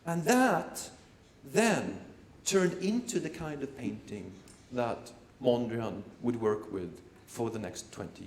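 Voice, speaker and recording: A man's voice: medium pitch, gravelly, a little hiss or hum. A man giving a live performance lecture, rambling with many fillers and repeated words and tossing playful asides to the audience. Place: lecture room at an art academy. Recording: echoey room.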